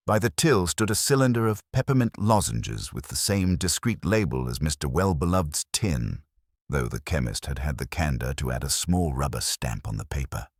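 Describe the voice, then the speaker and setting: Very deep, measured male narrator. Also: dry recording, studio quality. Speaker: narrator of a detective story. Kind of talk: scripted literary audiobook narration.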